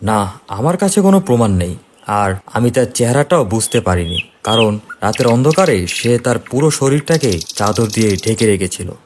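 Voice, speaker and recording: Guy playing serious young city guy in a horror cartoon, lowish voice, noisy insects behind. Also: expressive, normal speed, lightly acted. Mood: insistent. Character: earnest, determined young city man investigating hauntings. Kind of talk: animated horror story dialogue.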